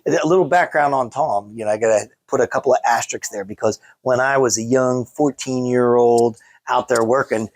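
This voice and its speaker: A man's voice: medium pitch, a little fast, hardly any pauses, dry recording. Male host, American, mid-range voice, loose rambling interview style, little room sound. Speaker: state legislator and show host. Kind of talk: casual on-location interview.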